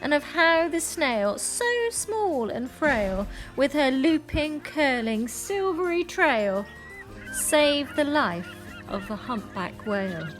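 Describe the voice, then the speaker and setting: A woman reading a rhyming children's picture book aloud with expressive, deliberate storytelling. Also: high-pitched, soft background music.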